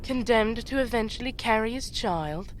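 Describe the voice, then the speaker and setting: A woman playing a fierce, commanding demon chieftain in a fantasy audio drama, speaking with imperious, deliberate menace and a wry edge. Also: a little high, expressive, a little hiss or hum, natural voice. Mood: sneering, menacing. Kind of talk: fantasy audio roleplay acting.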